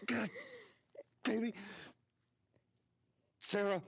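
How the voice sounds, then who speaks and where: Desperate father, high anguished pleading. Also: steady tone, racing, vocal fry, a little mellow. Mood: desperate, urgent. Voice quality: strained, breaking voice. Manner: pleading, repeating short tender phrases. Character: desperate grieving father pleading with his dying daughter. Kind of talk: emotional video game drama acting. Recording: background music under the voice.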